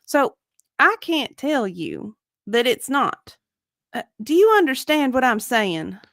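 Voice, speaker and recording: A woman's voice: high voice, big pitch swings, takes it slowly, fairly bright tone, no room sound, clean studio sound, heavily acted. A woman reading the part of a guarded, evasive witness in a dramatic deposition reading, with careful, hedging answers. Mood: evasive, earnest. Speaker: dramatic reader.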